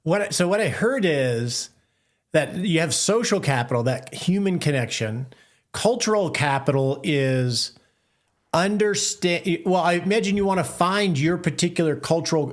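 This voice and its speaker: A male podcast host sums up what his guest has said and asks follow-up questions with open curiosity. His voice sits a touch high for a man. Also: normal speed. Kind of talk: interview conversation.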